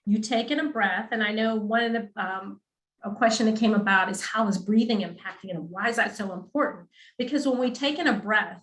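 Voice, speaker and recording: A woman's voice: mid-range voice, fairly bright tone, reverberant, low-quality recording. A woman speech-language pathologist explaining clinical topics in a patient, teacherly way, often checking in with the listener. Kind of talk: explanatory webinar talk.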